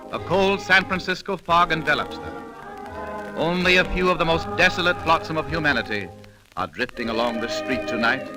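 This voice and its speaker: Dramatic, high-voiced 1930s radio narrator, male. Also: rough recording. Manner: dramatic, formal storytelling. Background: music.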